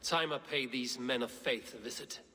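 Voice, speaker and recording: An actor playing a blunt, commanding Viking warrior in a video game, terse and deliberate. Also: male voice, mid-range voice, bright, forceful tone, lightly acted. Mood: probing. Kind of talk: video game dramatic acting. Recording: clear background ambience noise.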